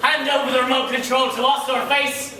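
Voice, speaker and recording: Earnest pantomime lieutenant played by a male, very high theatrical voice, formal and declamatory, distant in an echoey hall. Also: little pitch movement, brisk, pushed and bright, slight background noise, heavily acted. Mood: bold. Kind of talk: amateur school pantomime stage acting. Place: large school hall stage. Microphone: distant camera microphone.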